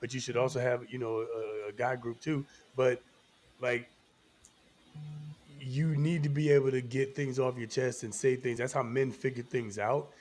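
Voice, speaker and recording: A man's voice: mid-range voice, level tone, normal speed, a little mellow. A man co-hosting a casual discussion, explaining his opinion point by point. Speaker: podcast co-host.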